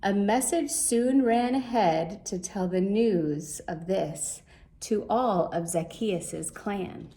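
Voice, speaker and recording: A woman reading a rhyming children's story aloud in a measured, expressive way. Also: medium pitch. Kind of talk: scripted storybook narration in rhyme.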